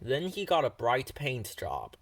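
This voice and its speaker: A man narrating a children's storybook in an expressive read-aloud style. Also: mid-range voice, measured pace, husky, dry recording. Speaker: storybook reader.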